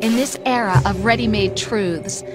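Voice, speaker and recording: An actress playing a calm, coldly persuasive female machine intelligence in a video game, speaking softly with a mocking edge. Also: medium pitch, normal speed, natural voice. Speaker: game character. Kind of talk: video game cutscene voice acting. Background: dramatic music score.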